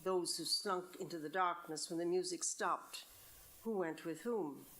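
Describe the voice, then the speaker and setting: A woman's voice: lowish voice, measured pace, fairly bright tone. Irish woman reading a story aloud. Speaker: author reading her short story. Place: live reading event at an arts venue.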